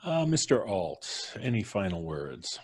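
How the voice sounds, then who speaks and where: A man's voice: lowish voice, sing-song, measured pace, breathy edge. A man hosting a casual live-stream chat in a wry, punning manner. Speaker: host.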